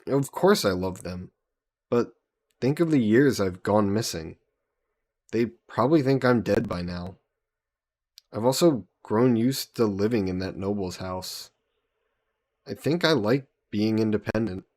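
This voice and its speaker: A man voicing an easygoing, teasing young adventurer hero in an intimate fantasy roleplay audio drama, casual and gently coaxing. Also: lowish voice, animated, unhurried, long pauses. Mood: persuading, casual. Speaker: voice actor.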